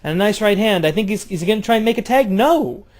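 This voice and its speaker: A man doing casual play-by-play of a video game wrestling match, jumping between loud outbursts and low, offhand, swearing asides. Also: expressive, fast talker. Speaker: play-by-play commentator.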